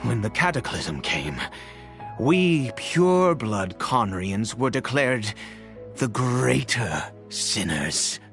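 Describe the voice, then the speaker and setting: A man voicing a weary, guarded exiled nobleman under a curse of immortality in a fantasy video game, halting and emotionally intense, with sighs. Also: medium pitch, big pitch swings, fairly bright tone, faint music behind, heavily acted. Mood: somber, resentful. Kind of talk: dramatic video game dialogue.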